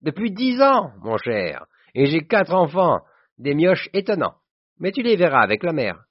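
A man voicing a boisterous, vulgar, jovial rich old school friend in an audiobook, loud and exuberant. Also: medium pitch, big pitch swings, a little fast, voice pushed up. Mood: loud, effusive. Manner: loud, exuberant, back-slapping familiarity. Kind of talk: audiobook character voice. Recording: dull, roomy sound.

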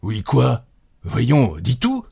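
A man playing an insistent, repetitive husband, jovial but quick to jealous fury, in a storybook reading. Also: mid-range voice, wildly swooping pitch, creaky, darkish tone, breathy edge, no echo, studio quality, pitched above the performer's own voice, heavily acted. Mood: gleeful, coaxing. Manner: insistent, repeating himself.

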